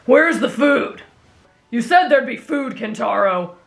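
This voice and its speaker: A woman's voice: fairly high pitch, lively intonation, slow pace, vocal fry, soft background music, full character voice. A woman voicing a brash, blunt, wild teenage boy with a demanding manner, in an anime fan audio roleplay.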